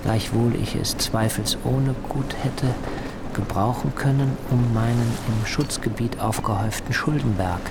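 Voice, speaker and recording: An actor in a radio play playing a fastidious, penniless idealist musing in stilted, formal sentences. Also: male voice, medium pitch, loud music behind the voice, natural voice. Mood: rueful, reflective. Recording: loud water and rain ambience.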